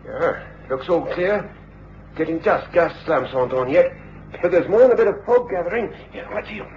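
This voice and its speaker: An actor playing an uneasy, rough junior British crook in a radio drama, with a colloquial, nervy way of talking. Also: male voice, on the high side, animated, moderate pace, lightly acted. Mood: nervy, wary. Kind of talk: old radio drama acting. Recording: dull, hissy old radio recording.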